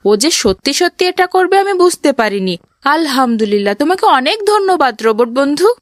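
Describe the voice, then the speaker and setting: Young boy played by a woman, children's cartoon: high-pitched, pious, sincere, patient; dry sound. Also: sing-song, a little fast, no room sound. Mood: earnest. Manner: earnest, explains patiently. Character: pious, kind young boy who owns a robot.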